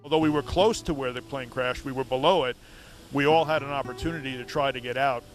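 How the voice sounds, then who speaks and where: A man in his fifties, calmly and reflectively talking in an interview and asking questions. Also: mid-range voice, fast, soft background music, rough recording. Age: 50s. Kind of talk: recorded interview.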